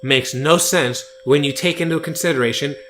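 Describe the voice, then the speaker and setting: A young man co-hosting a podcast, chatting casually and telling rambling stories with animated energy. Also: fairly high pitch, moderate pace, slight background noise. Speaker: podcast co-host. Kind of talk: casual chat.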